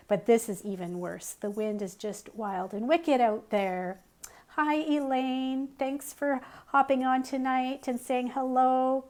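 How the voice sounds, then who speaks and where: A middle-aged woman hosting a casual live crafting tutorial, chatty and friendly, with frequent ums, her voice a little strained. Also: fairly high pitch. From Canada. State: slightly losing her voice.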